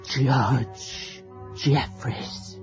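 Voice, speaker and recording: An actor playing an elderly, deferential rustic servant with a husky voice, hesitant and gossipy, in a radio drama. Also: mid-range voice, fairly even intonation, drawn-out words, dull audio, music in the background. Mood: recollecting. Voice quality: husky, breathy. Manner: hesitant, repeating, hushed storytelling.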